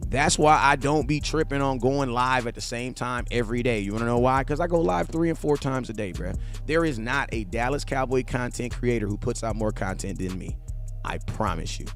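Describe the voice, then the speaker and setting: A man, chatting loosely on a live stream, talking straight to his viewers and repeating points for emphasis. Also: medium pitch. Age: 40s. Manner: loose slangy talk, addresses viewers directly, repeats himself for emphasis. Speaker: live streamer, sports fan host. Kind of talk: casual live-stream chat reading viewer comments.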